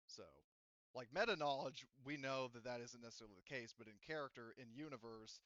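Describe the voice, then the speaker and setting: A man talking casually as a game master, explaining things in a halting, repetitive way to players. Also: medium pitch, a bit bright, dry recording, highs cut off. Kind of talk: casual unscripted discussion of a tabletop roleplaying game.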